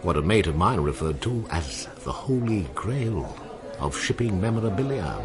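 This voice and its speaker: A man's voice: sits low, very animated, moderate pace, a crowd in the background. An actor playing a seasoned, wistful seaman in a radio drama, telling tales in a musing, colloquial way. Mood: confiding. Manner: musing, colloquial storytelling with seafaring turns of phrase. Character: seasoned old seaman, wistful, salty storyteller.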